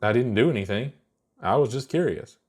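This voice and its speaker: A man's voice: mid-range voice, expressive, brisk, lightly acted. A man voicing a mild, earnest young alien astronaut in a plain-spoken, unbothered way for a story. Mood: matter-of-fact.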